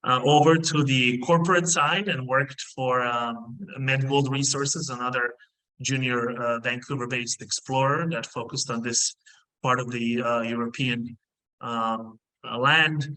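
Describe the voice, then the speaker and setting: A middle-aged man from Bosnia, a company CEO, speaking in a business interview over a video call, measured and full of filler pauses. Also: medium pitch, steady tone, pushed and bright, poor audio. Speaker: mining company CEO, guest. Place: indoor room.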